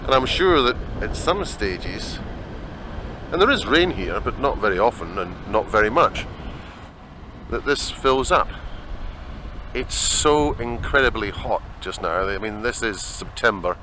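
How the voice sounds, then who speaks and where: Scottish man vlogging outdoors, bright voice. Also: on the low side, lively intonation, a little slow, a little hiss or hum. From Scotland. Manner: chatty, rambling. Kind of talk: walking travel vlog narration. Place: outdoors in open desert.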